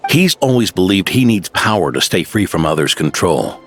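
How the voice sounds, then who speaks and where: An actor playing an aging veteran knight in a game cutscene, gentle and reflective, given to sighs. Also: male voice, low-pitched, very animated, normal speed, faint music behind, full character voice. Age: older. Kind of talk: video game cutscene dialogue.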